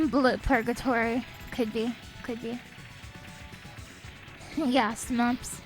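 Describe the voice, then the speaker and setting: Soft, sniffly young woman streaming. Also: on the high side, steady tone, takes it slowly, poor audio. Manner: soft-spoken, reads chat aloud. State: sniffly, a bit stuffed up. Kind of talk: casual gaming stream chat. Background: faint game music.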